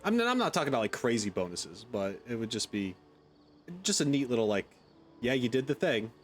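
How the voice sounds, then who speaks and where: A man's voice: mid-range voice, big pitch swings, a little fast, soft background music. A man giving casual gameplay commentary, thinking aloud in a rambling, tentative way. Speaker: gaming content creator.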